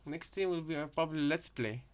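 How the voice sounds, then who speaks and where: A man with a slightly high voice talks casually as a live streamer. He rambles through his thoughts in a hesitant way, circling back and repeating phrases as he goes. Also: a little fast. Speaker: live streamer reacting to game content. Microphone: headset.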